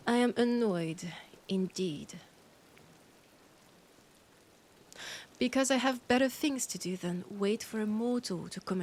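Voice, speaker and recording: A woman with a French accent playing an annoyed, condescending vampire scholar in a roleplay audio drama, often dropping into breathy whispers. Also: mid-range voice, slight background noise, lightly acted. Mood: annoyed, sarcastic. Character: annoyed, haughty female vampire scholar, ancient and hungry.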